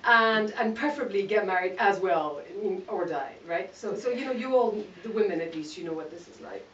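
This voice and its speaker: A woman's voice: medium pitch, a little fast, a bit bright. Woman telling her story to a crowd, jokey and frank, echoey hall, muffled sound. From Malawi. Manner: candid, self-mocking, laughs often. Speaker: guest speaker. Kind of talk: conversational talk to an audience. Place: event hall. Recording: echoey hall, distant mic, dull sound, noisy.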